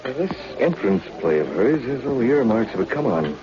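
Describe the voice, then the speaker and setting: A man's voice: mid-range voice, sing-song, moderate pace, lightly acted. An actor playing a wry, tough newspaperman and undercover agent in an old radio drama, slangy and clipped. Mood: wary, wry. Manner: slangy, clipped, hard-boiled. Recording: dull vintage radio, busy background chatter.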